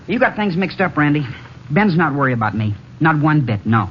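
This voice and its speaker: A man's voice: on the high side, big pitch swings, a little fast. A man acting a cocky, resentful young jockey in old-time radio drama, clipped, bitter and confrontational. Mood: bitter, heated. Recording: muffled, faintly noisy vintage radio recording.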